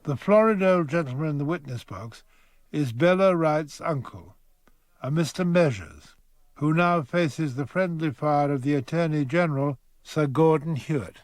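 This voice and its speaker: A man narrating a period courtroom radio drama in a measured, literary manner. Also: fairly high pitch, no room sound, lightly acted. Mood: wry, storytelling.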